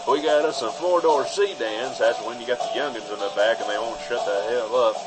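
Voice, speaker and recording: A man's voice: mid-range voice, a little fast, natural voice, rough recording. A man playing a folksy, rambling used-car salesman with a Southern US accent in a comic radio ad. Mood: folksy sales pitch. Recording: loud music bed behind the voice. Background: loud music.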